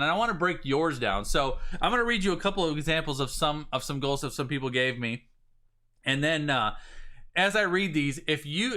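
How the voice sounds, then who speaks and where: A man, slightly raspy today, coaching viewers on a live stream in an energetic way, often restarting his phrases. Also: a little high. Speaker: business coach.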